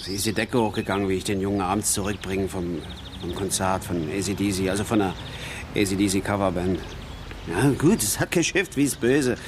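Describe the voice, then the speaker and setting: A man's voice: low voice, a little fast, background noise, natural voice. An actor playing a talkative, boastful working-class father down on his luck, telling rambling colloquial stories in a radio play. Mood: troubled. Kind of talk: naturalistic German radio play acting.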